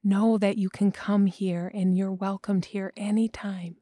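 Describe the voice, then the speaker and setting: Female meditation guide, very slow, hushed whispering delivery, warm and dark tone. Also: mid-range voice, level tone, dry recording. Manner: slow, soft, often whispered.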